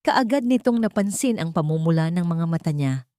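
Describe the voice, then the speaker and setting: Low-voiced woman narrating a dramatic story. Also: expressive, moderate pace, mellow, dry recording, studio quality. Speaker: narrator of romantic stories.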